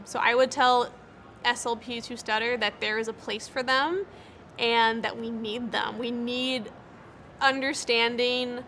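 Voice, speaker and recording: A woman's voice: fairly high pitch, sharp tone, a little hiss or hum. A young American woman who stutters, with repeated words and cut-off sounds, giving earnest, encouraging interview answers. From United States. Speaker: interviewee, speech-language pathologist.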